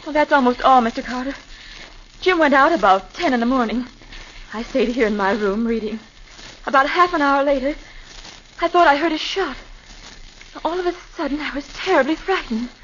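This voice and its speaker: An actress playing a frightened, grieving widow in a 1940s radio drama, anxious and pleading. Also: female voice, high-pitched, moderate pace, long pauses, a bit bright. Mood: distraught. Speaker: victim's wife. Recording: dull old radio recording with faint hiss.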